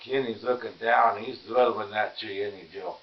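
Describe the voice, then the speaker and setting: A man's voice: lowish voice, fairly even intonation, normal speed, gravelly, slight background noise. Man filming a muffled, casual home vlog. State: tired and sore. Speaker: vlog host. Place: inside a house, walking through rooms.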